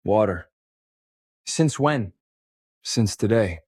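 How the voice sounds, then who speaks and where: A man's voice: fairly low pitch, animated, slow, darkish tone, slightly rough, dry recording, full character voice. A man voicing characters in an audio drama, trading dry, teasing lines.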